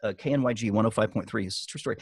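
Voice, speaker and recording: A man's voice: on the low side, very fast, slightly rough, no room sound, clean studio sound. A man giving business advice on a group video call as a guest coach, talking conversationally and emphatically, with anecdotes.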